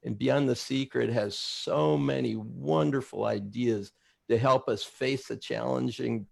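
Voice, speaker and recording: Gravelly-voiced man guest from Minnesota. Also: medium pitch, steady tone, unhurried, a little mellow. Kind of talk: casual chat.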